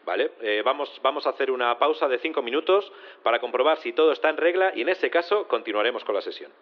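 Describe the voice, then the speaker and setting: An actor playing a formal, procedural judge presiding over a court in an audio drama. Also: male voice, mid-range voice, expressive, slight background noise, close to an everyday voice. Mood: puzzled. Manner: official, procedural, measured. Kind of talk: audio fiction acting. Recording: muffled courtroom radio feed.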